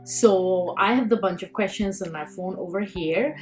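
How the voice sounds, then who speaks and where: A woman's voice: mid-range voice, moderate pace, a little mellow, faint music behind. A woman in her thirties from Bengal in India, a native Bengali speaker, chatting casually in a vlog and reading questions aloud with many fillers and restarts. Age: 30s. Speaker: YouTuber. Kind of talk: casual tag video vlog.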